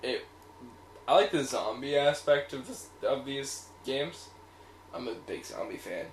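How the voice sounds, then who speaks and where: A man gives casual opinions as a co-host, his pitch moving up and down a lot. The recording is roomy, with background noise behind him. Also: lively intonation, a little slow. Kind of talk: casual movie chat.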